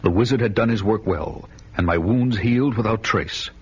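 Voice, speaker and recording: An actor playing a grim, vengeful barbarian warrior who tells his saga in solemn, deliberate dramatic style. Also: on the low side, breathy edge. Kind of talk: dramatic first-person radio narration and acting. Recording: dull lo-fi radio recording, loud music behind.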